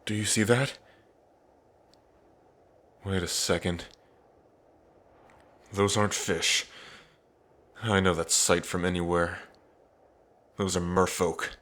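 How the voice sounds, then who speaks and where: A man playing an earnest, devoted dragon prince in an intimate ASMR roleplay, pausing between phrases. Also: low-pitched, flat delivery, fairly bright tone. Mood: alarmed, urgent. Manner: slow, earnest, with long pauses between phrases. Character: lovestruck dragon prince, grieving, tender, devoted.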